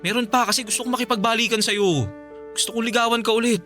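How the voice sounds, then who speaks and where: Radio drama ex: young male, desperate and lovesick, rapid, emotional pleading over soft music. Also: very high-pitched, steady tone. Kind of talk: Filipino radio drama acting.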